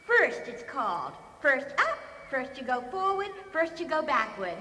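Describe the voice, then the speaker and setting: An excitable alien lady in a radio comedy, with a very high, bright voice. She chatters in a quirky, matter-of-fact way and exclaims freely. Long reverb surrounds her. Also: a little slow, heavily acted. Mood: breezy, matter-of-fact. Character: chatty, excitable female alien from another planet. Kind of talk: comedic radio drama acting. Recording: reverberant, faintly noisy radio broadcast.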